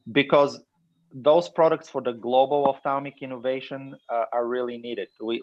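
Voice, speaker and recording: A man from Bulgaria speaking in a measured, thoughtful way as a panelist in an online webinar. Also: medium pitch. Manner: measured, thoughtful, some restarts. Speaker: webinar panelist asking questions. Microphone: computer mic on video call. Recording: muffled lo-fi webinar audio.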